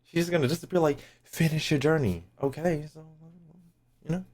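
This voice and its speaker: A man's voice: on the high side, fairly quick, darkish tone. A young man giving casual livestream game commentary, slangy and profane, with playful rants. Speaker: game streamer.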